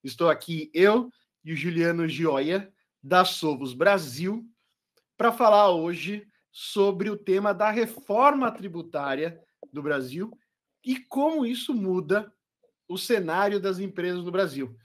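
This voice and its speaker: A man's voice: high voice, lively intonation, slow. A man hosting a corporate webinar as its presenter, speaking fluently and upbeat. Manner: fluent, upbeat presenter, says his accent is not Portuguese. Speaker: webinar host, product marketing manager.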